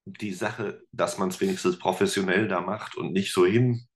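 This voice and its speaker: A man's voice: low-pitched, fairly even intonation, moderate pace. A man chatting casually as a podcast guest, rambling with fillers and restarts and joking about himself. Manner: self-deprecating humor. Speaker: podcast guest, teacher and musician. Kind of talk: casual interview chat.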